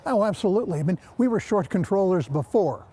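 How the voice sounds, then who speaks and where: A man's voice: high-pitched, expressive, fast talker, dark tone, breathy edge. A man with an American accent giving opinionated interview commentary as an expert consultant.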